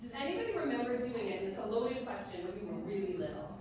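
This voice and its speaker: A woman's voice: medium pitch, very animated, fast. A woman, a district STEM director giving a chatty conference presentation and asking the audience questions. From Trinidad and Tobago. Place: conference hall. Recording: echoey hall, mic far, crowd behind.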